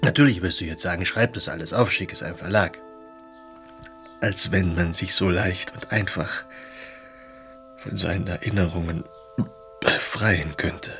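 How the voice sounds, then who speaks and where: Deep, brooding Romantic writer. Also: male voice, unhurried, long pauses, a bit bright, soft background music. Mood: resigned, wistful. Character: melancholy, haunted Romantic writer dictating letters to a friend. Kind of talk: German radio drama acting, confessional letter monologue.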